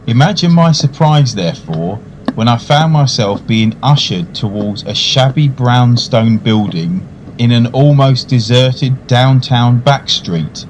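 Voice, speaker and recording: A man playing an urbane food lover, narrating a radio tale in a measured, wry manner. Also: mid-range voice, animated, a little hiss or hum, close to an everyday voice, low-quality recording. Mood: measured storytelling. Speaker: narrator and actor in a radio play. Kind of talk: dramatised first-person storytelling.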